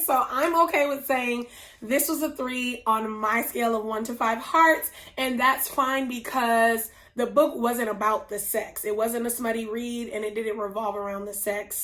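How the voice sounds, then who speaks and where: A woman hosting a book review vlog, losing her voice and sounding hoarse, gushing with slow drawn-out emphasis. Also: a little high, a bit bright. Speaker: book reviewer, channel host. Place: indoors at home, whiteboard nearby.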